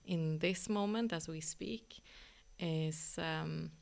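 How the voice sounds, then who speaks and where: Gravelly-voiced Swedish woman, hesitant. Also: deep voice. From northern Sweden. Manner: hesitant, many ums, thoughtful pauses. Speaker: yoga teacher, guest. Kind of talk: reflective casual conversation.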